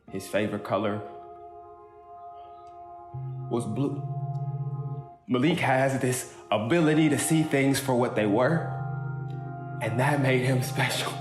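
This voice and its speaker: Brief: man performing an earnest bereaved kid brother, halting delivery, audio drama, roomy sound with guitar music behind. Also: mid-range voice, natural voice. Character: grieving kid brother giving a heartfelt eulogy. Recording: roomy echo, music underneath.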